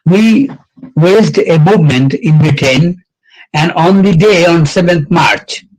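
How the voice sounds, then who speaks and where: An older man recounts the past at an event in a slow, unhurried delivery. His voice sits a bit high for a man, and he halts often on fillers and restarts his sentences as he gathers his thoughts. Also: a little mellow, low-quality recording. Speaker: event speaker. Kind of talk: reminiscing speech.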